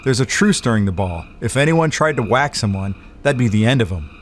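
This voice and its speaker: Guy acting as dopey cocky 1940s tough guy, slangy, lively delivery, slight noise behind. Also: medium pitch, a little fast, lightly acted. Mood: cocky, eager. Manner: slangy, brash, casual. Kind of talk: noir audio drama acting.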